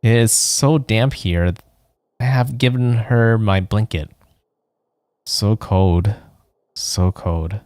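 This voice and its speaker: A man's voice: fairly low pitch, slow pace, pauses often, a little mellow, dry recording, studio quality. A man reading as a weary, dying woman diarist. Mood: weary despair. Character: dying, weary woman writing a despairing diary.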